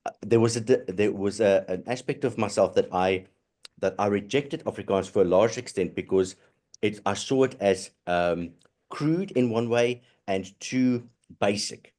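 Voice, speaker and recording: A man in a casual group discussion, talking haltingly with many restarts and murmurs of agreement. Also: on the low side, darkish tone. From South Africa.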